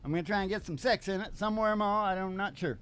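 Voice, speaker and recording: A man hosting a comedic talk radio show, rambling in a folksy, irreverent way with halting digressions. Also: sits high, fairly bright tone, clean tone.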